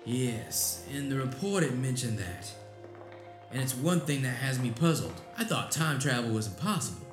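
Man acting as brusque male senator, skeptical, sardonic questions, mid-pitched, audio drama with music behind. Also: very animated, normal speed, natural voice. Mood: curious, puzzled.

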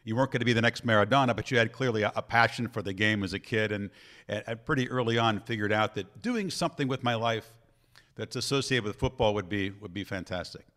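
Man, sports announcer, interview host, low voice, measured, thoughtful questions. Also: a bit bright. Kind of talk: interview conversation.

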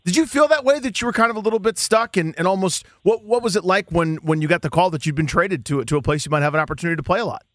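Fast, higher-pitched American male radio host. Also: a bit bright, no echo. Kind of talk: casual radio interview. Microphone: close studio microphone.

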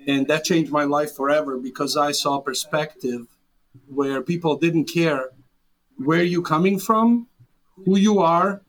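A man's voice: on the high side, unhurried, low-quality recording. A man in his forties from Serbia, speaking English with a Serbian accent as an interview guest, earnest and full of restarts. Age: 40s. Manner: earnest, with frequent restarts and fillers. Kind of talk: interview conversation.

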